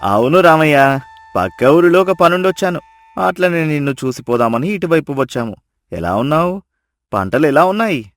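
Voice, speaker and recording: A man voicing a hearty, friendly visiting village in-law in a moral story, loud and exclaiming in a folksy way. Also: fairly high pitch, very animated, normal speed, no room sound. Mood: hearty greeting. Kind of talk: storybook dialogue acting.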